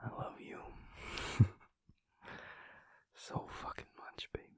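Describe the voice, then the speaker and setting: A man voicing an affectionate, teasing, cocky young werewolf boyfriend in an intimate audio roleplay, murmuring informally in a gravelly, husky voice. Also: a little mellow, no echo, whispered, lightly acted. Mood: tender, adoring. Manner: slow, murmuring, informal, swearing tenderly.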